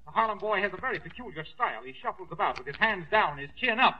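An actor playing an earnest boxing announcer, calling the fight in a formal, projected 1940s radio sports style. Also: male voice, high voice, expressive, fast. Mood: tense, hushed. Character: earnest boxing ring announcer and blow-by-blow commentator. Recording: dull old radio recording.

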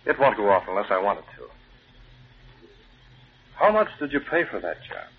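An actor playing a curt, commanding newspaper chief turned menacing vigilante in an old-time radio drama. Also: male voice, on the low side, very animated, normal speed. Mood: cool menace. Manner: curt, commanding, coolly threatening. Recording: tinny, noisy old radio recording.

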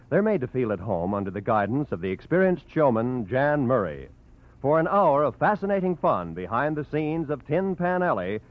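Lively old-time radio announcer, man. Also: medium pitch. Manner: lively promotional delivery. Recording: muffled old radio broadcast.

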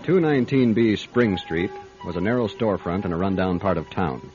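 An actor playing a matter-of-fact, wry private investigator in a radio mystery drama. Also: male voice, sits low, expressive, a little fast. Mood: wry narrating. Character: dry, methodical male private investigator telling his case. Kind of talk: radio mystery acting, first-person detective narration. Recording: dull lo-fi radio recording, faint music.

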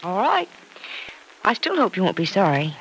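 An actress playing a lively young woman in a 1940s-style radio soap opera, on a noisy old recording. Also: mid-range voice, moderate pace. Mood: doubtful. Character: lively young woman questioning her sweetheart.

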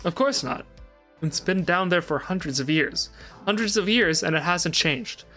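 Man acting a agitated, higher-voiced obsessed interview subject. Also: lively intonation, normal speed, bright, forceful tone, faint music behind, full character voice. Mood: agitated distress. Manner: agitated, repetitive, swearing. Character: obsessed, distressed male interview subject haunted by a shipwreck. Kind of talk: dramatic reading of an interview transcript.

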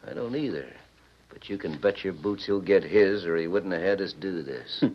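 An actor playing a shrewd stagecoach robber boss with rough, rustic Western talk in an old radio drama. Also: male voice, sits low, normal speed. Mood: matter-of-fact. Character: gruff, shrewd stagecoach outlaw gang boss. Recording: muffled vintage radio, faint noise.

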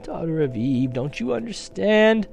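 A man acts out a weepy storybook fawn, high-voiced and swooping with misery. He wails and confesses, full of gloom and self-reproach. There is clear background noise. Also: very animated, unhurried, a little mellow, heavily acted. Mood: grave remorse. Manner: sobbing, wailing, confessional. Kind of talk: dramatic storybook character reading.

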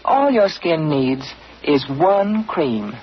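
A woman announcer reading a scripted radio commercial in a measured, persuasive way. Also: deep voice, big pitch swings. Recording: dull 1940s radio broadcast, faint hiss.

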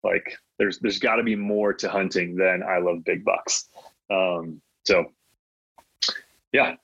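A man's voice: sits low, steady tone, slow pace, creaky, a bit bright, breathy edge, no echo, muffled recording. A man from Michigan whose first language is Latvian, talking casually as an interview guest with many ums and restarts. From Michigan, USA. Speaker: guest, hunting brand co-founder.

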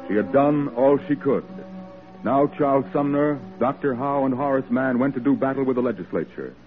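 An actor playing the solemn storyteller of a historical radio drama, giving scripted narration. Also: male voice, mid-range voice, normal speed, natural voice. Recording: muffled old radio, music underneath.

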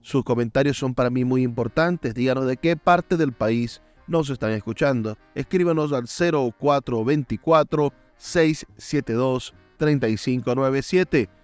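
A man's voice: medium pitch, measured pace, hardly any pauses, no room sound. Male radio presenter, Venezuelan, mid-range voice, affectionate and emphatic announcing over a light music bed. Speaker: radio host. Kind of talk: radio programme sign-off.

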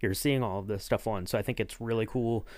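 A man with a fairly deep voice interviews on a podcast in a dry, close recording. He is casual and upbeat about things, and he rambles as he works toward each question. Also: fairly even intonation, brisk, a little mellow, dry recording. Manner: rambling, enthusiastic, peppered with "you know". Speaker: podcast co-host acting as interviewer.

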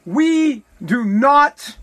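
A man hosting a podcast with a hoarse, worn-out voice, delivering a bombastic comedic rant full of stammers and repeated words. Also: very high voice, lively intonation, drawn-out words, fairly bright tone. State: hoarse, lost voice. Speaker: podcast host.

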